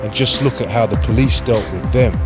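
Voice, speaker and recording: Male narrator, medium pitch, deliberate dramatic delivery, scripted radio play, music bed. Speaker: writer-performer, narrator. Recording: music under the voice.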